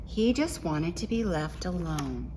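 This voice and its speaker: A woman reading a picture book aloud to children in an expressive storytelling style. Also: fairly low pitch, a little slow, slight background noise. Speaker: picture book reader at a school.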